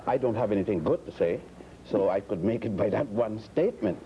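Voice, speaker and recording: A man's voice: on the low side, animated, a little fast, mellow, slight background noise, poor audio. A man in his sixties from Puerto Rico and the Virgin Islands, a guest historian answering interview questions in a lecturing way, piling up facts with many fillers and restarts.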